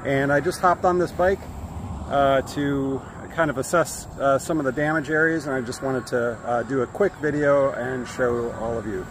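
A man in his fifties with an American accent gives casual vlog commentary in a halting, matter-of-fact way, recorded outdoors on a phone. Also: mid-range voice. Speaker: cycling vlogger. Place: outdoors by bike paths and roads. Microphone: phone at arm's length. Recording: noisy outdoor audio with traffic ambience.

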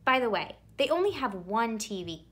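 A woman reading a children's picture book aloud expressively, with theatrical emphasis and drawn-out words. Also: on the high side, sing-song, a bit bright, husky. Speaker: read-aloud storyteller.

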